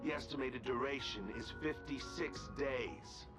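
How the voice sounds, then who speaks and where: An actor playing a strict, businesslike escort in an anime game, formal, precise and matter-of-fact. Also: male voice, mid-range voice, fairly even intonation, measured pace, natural voice. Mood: stern, businesslike. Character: strict, businesslike male escort, literal and unbending. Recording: game music behind the voice.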